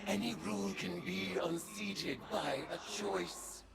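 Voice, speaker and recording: A grave and imperious game war-witch speaks in a creaky, monstrous processed voice. She draws out every word like an incantation, and loud ambience churns behind her. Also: on the low side, very noisy, exaggerated voice. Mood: menacing, solemn. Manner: slow, portentous, incantatory. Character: vengeful Hive war-witch turned god, grave and imperious. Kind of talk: dramatic game dialogue.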